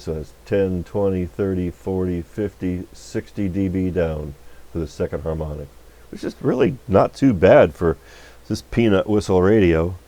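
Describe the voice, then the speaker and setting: Low-voiced man, folksy and rambling. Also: warm tone. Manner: folksy, rambling, halting with trailing restarts. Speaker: electronics repair technician and video host. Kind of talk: casual unscripted technical demonstration. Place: radio repair workbench.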